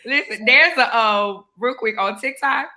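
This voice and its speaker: A woman in her forties hosting a casual chat show, animated and laughing often. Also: fairly high pitch, measured pace. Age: 40s. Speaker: podcast host.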